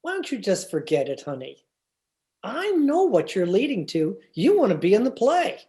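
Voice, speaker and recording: A woman's voice: mid-range voice, huge pitch swings, moderate pace, mellow. A woman playing a teasing, stubborn husband in old-time radio comedy, with a theatrical, wry and exasperated delivery. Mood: skeptical, teasing. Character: George, teasing, stubborn husband of a 1940s housewife. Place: remote video-call production.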